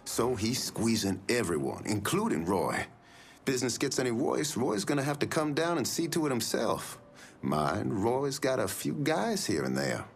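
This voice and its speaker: Folksy, lively informant. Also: male voice, mid-range voice, normal speed, gravelly, slight background noise. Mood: wry, gossipy. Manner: folksy, colloquial, wry. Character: folksy, wry, talkative male smuggling informant. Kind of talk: video game acting.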